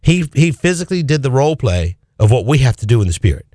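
A male pastor preaching with a lively voice that leaps up and drops low as he drives each point home. The sound is dry and close, with no room echo. His pitch sits in the middle for a man. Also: fast talker. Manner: preaching with animated, swinging emphasis. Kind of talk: sermon.

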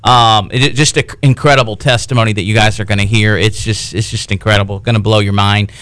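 Male co-host, effusive and halting, resonant medium-pitched voice, close dry studio sound. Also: fairly even intonation, fairly bright tone, smooth, no echo. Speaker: radio co-host introducing a guest. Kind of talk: enthusiastic unscripted introduction.

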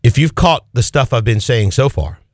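A male pastor preaching with a lively voice that leaps up and drops low as he drives each point home. The sound is dry and close, with no room echo. His pitch sits in the middle for a man. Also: moderate pace. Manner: preaching with animated, swinging emphasis. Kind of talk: sermon.

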